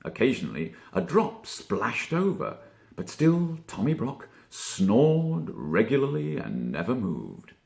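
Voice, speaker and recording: A man narrating a children's story theatrically, expressive and deliberate, drawing out key words. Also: medium pitch, gravelly. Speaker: storybook reader, stage actor.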